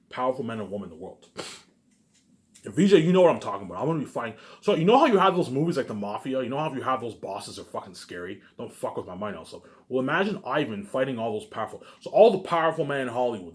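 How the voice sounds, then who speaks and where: A man talking casually in a rambling, repetitive monologue, swearing often and swinging between loud emphatic bursts and quieter asides. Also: lowish voice. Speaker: vlogger.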